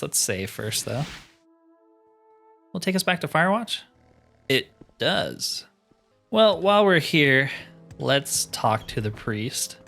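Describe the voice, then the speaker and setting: A man's voice: medium pitch, vocal fry, a bit bright. A man giving casual, informal commentary on a game playthrough, musing aloud to viewers with mild exclamations. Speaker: gaming channel host.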